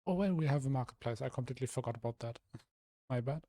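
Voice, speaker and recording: A young man from Germany talks through his building plans in a casual stream, his voice rough and a little hoarse. He thinks aloud, trailing off and restarting often, in a mellow, warm tone. The sound is dry and close, with no room around it. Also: mid-range voice, quick pace, dry recording. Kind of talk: casual live-stream commentary while building.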